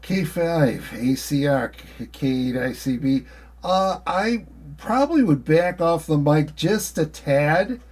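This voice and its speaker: A man's voice: fairly high pitch, lively intonation, slow, darkish tone, a little hiss or hum. A man in his sixties talking casually as a radio operator, in a friendly, hesitant, meandering way. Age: 60s. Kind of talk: casual chat over ham radio.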